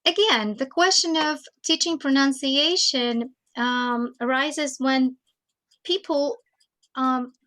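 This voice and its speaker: A woman giving a teaching talk, slow and thoughtful, pausing often with fillers. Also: sits high, bright, forceful tone. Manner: slow, thoughtful, with many hesitations. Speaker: teacher trainer.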